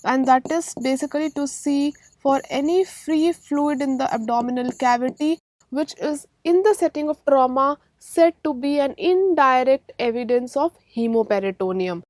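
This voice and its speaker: A woman's voice: high voice, moderate pace, darkish tone, no echo, poor audio. A woman with an Indian accent teaching a medical exam-prep lecture, emphatically repeating key points and checking understanding with questions.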